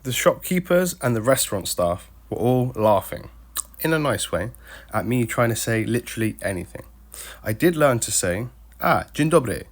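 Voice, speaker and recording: A man's voice: medium pitch, sing-song, normal speed. A young British man narrating a travel vlog with dry, self-mocking humor. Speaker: travel vlogger.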